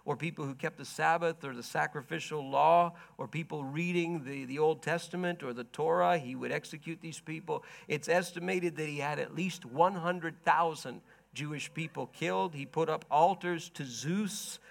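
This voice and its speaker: Hoarse male preacher, gravelly and slightly high, measured storytelling delivery for a Bible lesson. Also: no echo. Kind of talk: sermon-style Bible history lesson.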